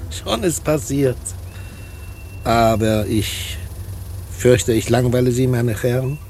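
A sly gentleman thief with shady charm. He speaks in a mid-pitched voice with elaborate courtesy, as in a radio drama, over faint vehicle noise. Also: takes it slowly. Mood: sly, apologetic. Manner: courteous, sly, chuckling, clipped formal phrasing. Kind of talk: radio play dialogue.

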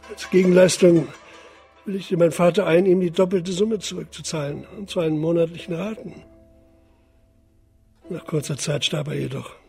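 An actor in a radio drama playing a poor, bitter father who speaks deferentially and in measured, formal phrases to his superior. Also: fairly high pitch, fairly even intonation, gravelly, warm tone, soft background music. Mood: resigned, subdued.

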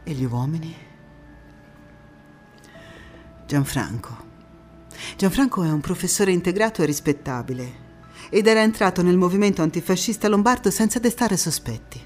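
An actress playing a passionate wartime partisan woman in a theatrical monologue, phrasing every line with deliberate emphasis. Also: on the low side, animated, close to an everyday voice. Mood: measured narrating. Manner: emphatic, deliberate phrasing swinging between hushed intimacy and fervour. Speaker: stage actress. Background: music.

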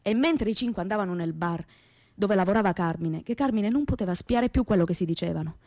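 An actress plays a young southern Italian woman of simple background, with a Calabrian accent and a medium-pitched voice. She tells her story as a radio theatre monologue, plain-spoken and naive, in colloquial, dialect-tinged phrases. The sound is dry, with no room around her. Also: fairly quick, dark tone, no echo, natural voice. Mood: confiding, earnest. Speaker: stage actress, narrator.

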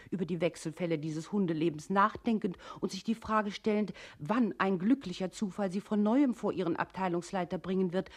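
An actress playing an insistent narrator in a radio play, giving formal, emphatic instructions. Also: female voice, medium pitch, husky. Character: insistent female narrator instructing a downtrodden employee. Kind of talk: scripted radio play narration in second person.

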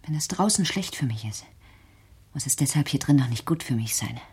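A woman acting in a radio play as a fearful, accusing, reclusive grown daughter, brooding and intense, with a breathy, whispery voice. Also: extremely low pitch, animated, fairly quick, darkish tone, exaggerated voice. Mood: defiant.

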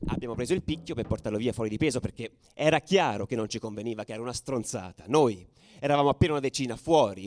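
A male actor performs a fiery football ultra telling his story as a radio play monologue. His mid-pitched voice moves through a very wide range, volatile and raw in its wording. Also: close to an everyday voice. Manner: colloquial, foul-mouthed, volatile, swinging from hushed to shouted. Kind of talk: dramatic first-person storytelling, radio theatre.

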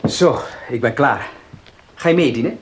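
A man's voice: mid-range voice, animated, a little slow, natural voice. An actor playing a serious young clergyman in a radio play, plain-spoken and matter-of-fact. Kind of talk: Dutch radio play acting. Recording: noisy outdoor-scene radio drama effects.

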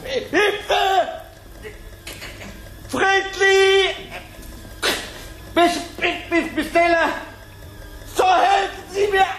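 An actor playing an agitated, insistent scientist at a space colony in a 1980s German radio play, speaking with dramatic emphasis. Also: male voice, extremely high pitch, fairly even intonation, very slow, long pauses, a bit bright, heavily acted, low-quality recording. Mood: panicked.

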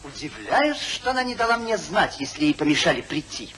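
High-voiced hypocritical gentleman schemer. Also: very animated, a little fast. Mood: scheming. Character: smooth hypocritical gentleman schemer, calculating and stingy. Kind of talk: 1950s radio play acting. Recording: faintly noisy 1950s radio recording.

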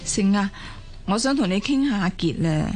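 A woman playing a gentle middle-aged mother and wife in a Cantonese audio drama, speaking in a soothing, conciliatory way. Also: mid-range voice, expressive, moderate pace, faint music behind, natural voice. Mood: concerned, persuasive.

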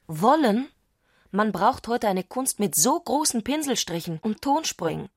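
An actress playing an intense, mentally fragile young wife with visionary ideas in a radio drama, speaking with urgent exaltation. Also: female voice, a little high, animated, unhurried, slightly rough, dry recording, clean studio sound, heavily acted. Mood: exalted, urgent.

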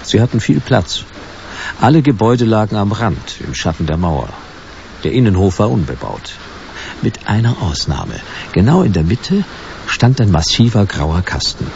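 Gravelly low-voiced hard-boiled detective. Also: male voice, big pitch swings, normal speed, darkish tone. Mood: wry narrating. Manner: dry, laconic, sardonic. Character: world-weary hard-boiled male private detective of the future. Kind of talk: radio drama acting with first-person noir narration. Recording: dull, noisy radio drama recording.

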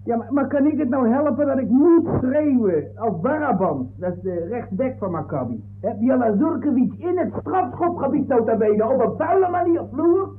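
Casting: excitable fan in the dock, high and swooping, defiant, on tinny old comic radio. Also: male voice, normal speed, few pauses, echoey room, heavily acted. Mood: defensive, flustered. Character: excitable, defiant male football fan defending himself in court. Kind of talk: 1960s comic radio play acting. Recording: tinny, noisy 1960s radio recording.

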